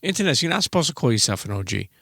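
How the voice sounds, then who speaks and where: A man's voice: mid-range voice, sing-song, rapid-fire, vocal fry, fairly bright tone, no room sound, clean studio sound. A man hosting a casual interview podcast, colloquial and talking straight to his listeners. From Brooklyn, New York. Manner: colloquial, addresses listeners directly. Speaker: podcast host.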